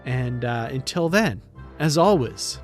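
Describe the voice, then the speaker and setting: A man's voice: mid-range voice, expressive, takes it slowly, over loud music. A man co-hosting a podcast, chatting casually as he gives a review. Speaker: podcast co-host.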